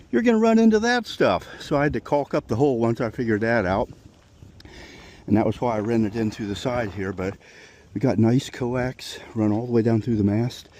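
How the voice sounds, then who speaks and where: Male vlogger, dark mellow tone, mid pitch, informal rambling talk while showing hands-on work. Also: dry recording. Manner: informal, rambling, self-talking. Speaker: sailboat owner demonstrating repairs. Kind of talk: casual vlog talk.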